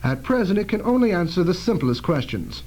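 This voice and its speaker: Radio drama inventor, male actor: proud, cautious scientist, high-pitched and lively, emphatic explanations. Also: fairly quick. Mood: cautious, earnest.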